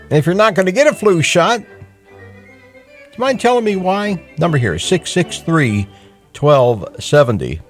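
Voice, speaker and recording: A man's voice: on the high side, unhurried, faint music behind. A middle-aged man hosting a talk radio show, speaking bluntly with some mild cursing and plenty of fillers and restarts. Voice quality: blunt, mildly profane, conversational. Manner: lively, hectoring, with frequent fillers and restarts. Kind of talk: call-in talk radio monologue. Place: radio studio. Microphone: studio microphone.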